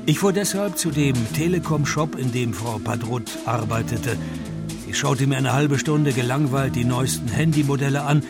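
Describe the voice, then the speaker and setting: An actor playing a dry, sardonic private detective in a radio play, deadpan, with a gravelly, husky voice. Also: male voice, medium pitch, normal speed, over loud music, natural voice. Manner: deadpan, sarcastic. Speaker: detective narrating his own case. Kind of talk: radio play narration and dialogue.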